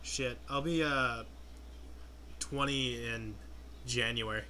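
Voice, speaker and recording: Young man, nineteen, unhurried, bright tone, rambling casual chat. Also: medium pitch, steady tone, slight background noise. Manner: rambling, swears offhandedly.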